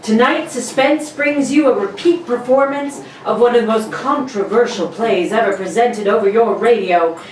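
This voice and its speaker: A woman's voice: a little high, normal speed, few pauses. A woman announcer reading dramatic radio-play narration with theatrical, emphatic phrasing. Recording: distant roomy mic with faint noise.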